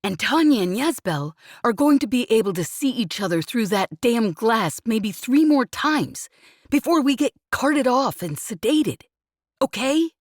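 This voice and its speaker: A woman's voice: low-pitched, slow pace, few pauses, slightly rough, no echo, studio quality, full character voice. A woman voicing a weary, guilt-ridden young man. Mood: furious. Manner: flat and dull, bursting into anger. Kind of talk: audiobook character reading.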